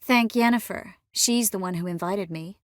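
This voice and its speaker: A woman's voice: mid-range voice, normal speed, no room sound, studio quality, lightly acted. A woman voicing a composed, caring young sorceress in a video game, earnest with a wry edge. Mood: sincere. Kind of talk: game dialogue acting.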